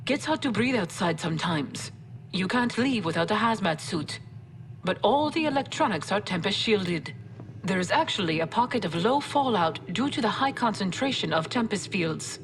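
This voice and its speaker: A woman's voice: medium pitch, expressive, moderate pace, gravelly, background noise. A woman playing a composed, wry, familiar military officer giving a conversational briefing in a video game.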